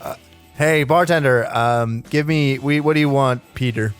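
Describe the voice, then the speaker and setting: A man's voice: medium pitch, moderate pace, clean tone, faint music behind. A man performing improvised comedy dialogue at a table read, with a hesitant, questioning manner.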